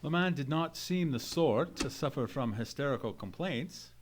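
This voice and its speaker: A man acts out a loyal Victorian gentleman of science in a radio play. His mid-pitched voice is earnest, formal and measured, recorded live on stage with faint background noise. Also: lively intonation, natural voice. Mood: agreeable. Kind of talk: old-time radio mystery play, dialogue and narration.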